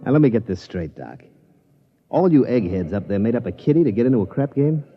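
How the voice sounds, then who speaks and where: A man's voice: medium pitch, sing-song, brisk, music in the background, lightly acted. An actor playing a streetwise but good-hearted casino tout, with slangy, confiding wise-guy talk in a 1950s radio drama. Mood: confiding, incredulous. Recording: dull, noisy vintage radio recording.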